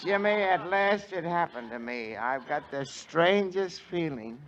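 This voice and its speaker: Slow-talking naive man on old radio. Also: a little high, lively intonation, a bit bright. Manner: dreamy, naive, delivering punchlines slowly. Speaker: comic sidekick. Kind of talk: 1940s radio comedy banter. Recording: dull noisy old radio broadcast.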